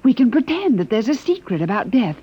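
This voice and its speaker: A woman's voice: fairly high pitch, sing-song, brisk, warm tone, breathy edge. An actress playing an earnest, defiant woman survivor in an old radio drama, urgent and pleading in manner. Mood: determined, anxious. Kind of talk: 1950s radio drama acting. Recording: muffled vintage radio recording.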